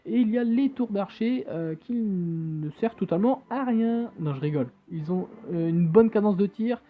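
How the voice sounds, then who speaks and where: A man's voice: high voice, animated, normal speed. A man giving casual, hesitant commentary as he plays a phone game and reads its text aloud, with many fillers and jokey asides. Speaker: gamer playing on a phone. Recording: tinny phone-like screen recording with faint game music. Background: faint game music.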